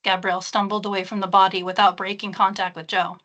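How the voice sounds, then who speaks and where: A woman's voice: mid-range voice, flat delivery, fast talker, a bit bright. A woman author, reading her own fiction aloud or chatting about it as a guest.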